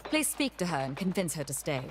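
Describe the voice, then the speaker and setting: A woman playing a stately, earnest female blacksmith in a video game, speaking in a formal, measured manner. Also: low voice, very animated, over loud music. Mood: earnest, concerned. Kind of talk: video game character acting.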